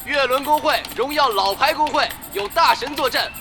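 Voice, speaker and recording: Proclaiming guild crier, male actor, audio drama, high, loud and animated, selling the guild. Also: normal speed. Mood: excited proclaiming. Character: excited guild recruiter shouting game news. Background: music.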